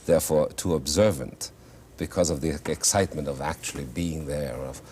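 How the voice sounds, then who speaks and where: An exceptionally deep, low-pitched male voice talks thoughtfully, pausing and restarting as he gathers his words, then landing dry, wry punchlines. He is a German-born actor and singer reminiscing as an interview guest. A faint hiss runs under the old studio recording. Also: darkish tone. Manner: thoughtful, halting with many restarts, wry humor. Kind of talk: reflective conversational storytelling.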